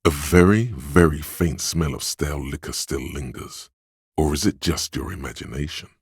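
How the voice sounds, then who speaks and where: A man voicing the wry inner voice of a detective's sense of smell in a game, with a slow, sardonic delivery. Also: no echo, clean studio sound, exaggerated voice. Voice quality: deep gravelly husky voice. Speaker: voice actor for game narration. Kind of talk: scripted game voice lines. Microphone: close studio mic.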